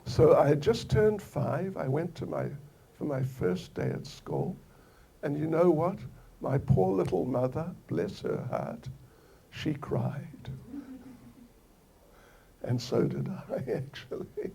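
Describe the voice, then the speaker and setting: An older man from New Zealand giving a devotional scripture lecture, telling the story gently and slowly with soft laughs and repeated phrases. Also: fairly high pitch, expressive, vocal fry, husky.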